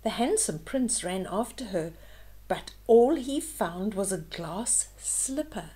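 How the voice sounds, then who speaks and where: Slow-paced older woman reading a storybook. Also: medium pitch, breathy edge. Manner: expressive, explains pictures in simple words. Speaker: children's storyteller, host. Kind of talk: storybook read-aloud with asides to young listeners.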